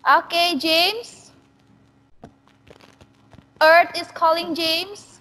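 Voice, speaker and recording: Playful high-voiced woman teacher. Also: fairly even intonation, very slow, bright, forceful tone. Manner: playful, switches between English and Indonesian. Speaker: teacher hosting a school webinar. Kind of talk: casual online Q&A moderating. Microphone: video call.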